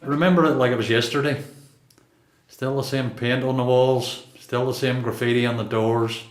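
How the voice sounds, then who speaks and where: An actor with a Northern Irish accent playing a seasoned, quietly defiant long-term prisoner in a radio play. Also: male voice, mid-range voice, fairly even intonation, normal speed, lightly acted. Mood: reflective. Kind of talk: radio play dialogue and narration. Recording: echoey room.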